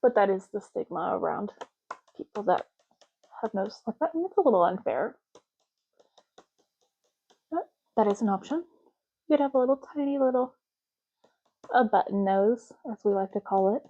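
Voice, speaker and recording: A woman speaks softly in a mellow, relaxed voice with a medium pitch. She plays a clerk in an ASMR roleplay, casually explaining choices to the listener. The recording sounds slightly dull and lo-fi. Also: moderate pace, pauses often, husky. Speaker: ASMR creator playing a clerk making ID cards. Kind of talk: soft-spoken ASMR roleplay.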